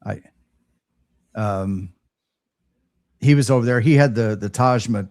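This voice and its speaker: A man speaks in a relaxed, chatty storytelling style as a show host. His delivery is halting, with words often repeated as he goes. The sound is dry, with very little room echo. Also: medium pitch. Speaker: host of a live ham radio show. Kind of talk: casual chat, storytelling.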